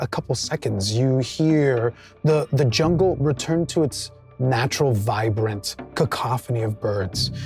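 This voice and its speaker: A man running a tabletop game, narrating scenes in a slow, drawn-out way. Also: medium pitch, darkish tone. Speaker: game master.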